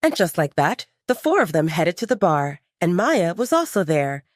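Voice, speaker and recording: A woman narrates dramatic fiction in a slightly low voice. Her delivery is expressive, with a lively melody that moves freely. The sound is close and dry. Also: normal speed, no room sound. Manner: expressive storytelling. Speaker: audiobook narrator. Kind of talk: scripted dramatic fiction narration, third person.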